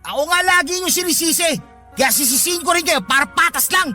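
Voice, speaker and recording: A woman's voice: very high-pitched, brisk, sharp tone, faint music behind, exaggerated voice. A woman with a Filipino accent playing a lazy, insolent, bullying grown child in a radio drama. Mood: menacing, insolent. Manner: whiny, brash, defiant.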